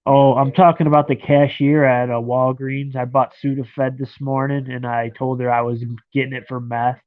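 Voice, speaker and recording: A man's voice: medium pitch, little pitch movement, moderate pace, no room sound. A man in his thirties with a stuffed-up nose, chatting and rambling to viewers from his bed at home on a live stream. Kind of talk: casual live stream chat. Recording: dry, phone-like lo-fi sound.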